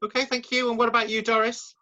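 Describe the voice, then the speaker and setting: A man with a high, very bright, sharp voice interviews people. He asks polite, encouraging questions and thanks each speaker in turn. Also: little pitch movement, fast, dull audio.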